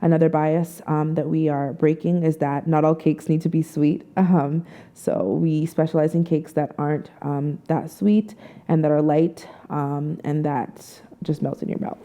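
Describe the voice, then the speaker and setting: A woman who runs a cake business, soft-spoken in an interview with frequent ums. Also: deep voice, fairly even intonation, unhurried. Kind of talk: interview answers.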